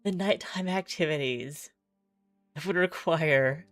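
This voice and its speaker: A woman's voice: deep voice, slow pace, no room sound, soft background music. A woman streamer doing chatty game playthrough commentary, reading text aloud and musing as she goes.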